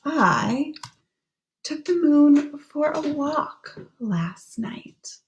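A woman in her thirties gently reading a children's picture book aloud and explaining the pictures as she goes. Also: sits high, lively intonation, very slow, a little hiss or hum. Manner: gentle, explaining pictures between read lines. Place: small room at home.

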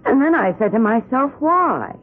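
A woman's voice: high voice, very animated, normal speed. A woman playing a fretful, dissatisfied suburban wife in a 1970s radio drama, by turns hesitant and insistent. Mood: upset. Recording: narrow old radio recording.

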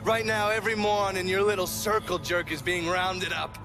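A man's voice: sits high, fairly even intonation, moderate pace. A man playing a cocky, foul-mouthed American intelligence operative in a video game, with a wry, casual delivery. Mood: smug. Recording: loud music and ambient noise behind.

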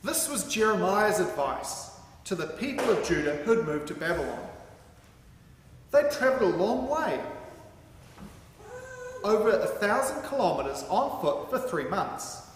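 A man with a high-pitched, lively voice reads out a sermon with deliberate, emphatic phrasing. He speaks at a stand microphone in a large hall, and the sound is very echoey with some background noise. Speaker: sermon reader. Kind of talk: sermon read aloud from a script. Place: large hall with curtained stage, indoors. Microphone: microphone on a stand at a lectern.

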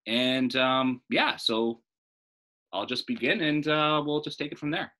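A man in his thirties reading a children's book aloud as a storyteller, in an animated style. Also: mid-range voice, a little slow.

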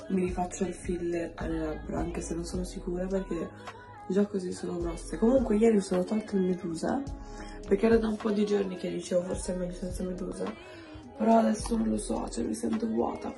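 A young woman talks casually into a phone camera held at arm's length inside her apartment. She chats to her viewers as she goes about her day. Music plays clearly underneath her voice. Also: fairly low pitch. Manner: chatty, addresses viewers as "raga". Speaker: lifestyle vlogger. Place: apartment, indoors. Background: background music.

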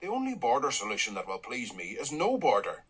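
An actor playing a blunt, wry middle-aged dairy farmer with a Northern Irish accent, in a radio drama. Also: male voice, mid-range voice, huge pitch swings, fairly quick, fairly bright tone, slightly rough, heavily acted. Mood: wry, stubborn.

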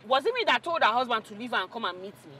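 High, sharp, fiery Nigerian wife. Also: female voice, big pitch swings, racing. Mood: indignant. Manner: combative, repeats demands and curses. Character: fiery, jealous, scorned wife fighting for her husband. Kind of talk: film drama acting.

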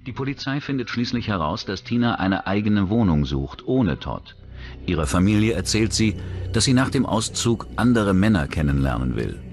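Very deep male documentary narrator. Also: animated, normal speed, soft background music. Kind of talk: scripted true-crime documentary narration.

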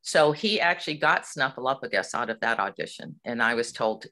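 Guest: middle-aged woman from Hawaii, bright tone, lively and chatty. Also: a bass voice, moderate pace. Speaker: puppeteer guest. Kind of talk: casual interview chat.

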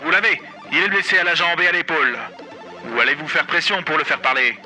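An actor playing a theatrical, smug spy handler narrating a game in a radio comedy. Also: male voice, a little high, quick pace, over music, natural voice. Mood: instructing. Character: theatrical male spy handler, smugly instructing.

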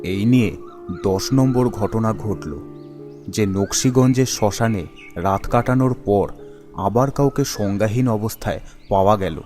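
A man voicing a young male ghost-hunting friend, curious and measured, in an animated ghost story. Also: fairly low pitch, faint music behind, pitched below the performer's own voice, natural voice.

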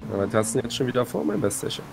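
A man's voice: mid-range voice, big pitch swings, racing, over music. A man playing a roleplay game, bantering in a brash, jokey, slangy way over voice chat. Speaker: fellow player in a roleplay game. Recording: clearly noisy game voice chat.

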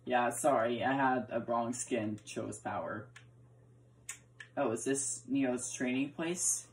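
Woman with a real low voice role-playing a block game, stumbles and repeats herself, faint noise. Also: slow, poor audio. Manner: stammering, repeats words often. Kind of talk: casual role-play chat while playing. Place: indoor room, filming a TV screen.

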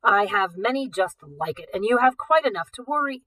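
A woman voicing a dismissive older man posing as wealthy, formal and measured, in an audiobook. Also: mid-range voice, expressive, pushed and bright, no room sound, rough recording. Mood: dismissive, composed.

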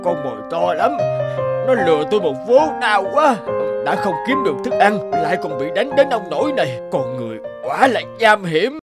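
A hungry, gullible cartoon wolf, grumbles and schemes in a theatrical way. His pitch swings widely, and loud music plays behind him. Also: very animated, moderate pace. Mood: aggrieved. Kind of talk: cartoon voice acting.

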